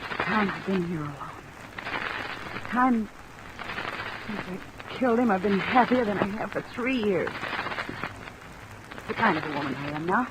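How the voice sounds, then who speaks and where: An actress playing a young, embittered and defensive confessed killer in a 1950s radio drama, halting, emphatic and emotionally strained. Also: female voice, mid-range voice, close to an everyday voice. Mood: bitter, brittle. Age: young adult. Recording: old radio recording under rain noise. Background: steady rain sounds.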